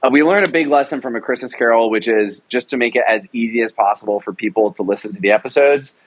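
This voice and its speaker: Young man, enthusiastic, rambling, interview guest, mid pitch. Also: fast, low-quality recording. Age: young adult. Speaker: writer-director of an audio drama. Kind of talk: casual interview talk.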